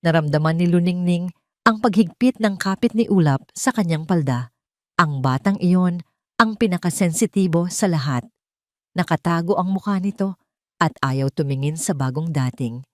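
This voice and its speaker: A woman narrates a scripted drama. Her voice sits low, and the recording is dry and close, with a measured storytelling delivery. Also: no echo.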